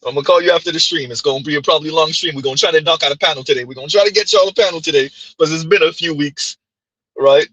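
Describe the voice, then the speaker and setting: A man with a Haitian accent commenting on clips during a live stream, emphatic and rhetorical, with a husky voice. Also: on the high side, very fast, fairly bright tone, no room sound, low-quality recording. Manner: emphatic, rhetorical "huh?" asides.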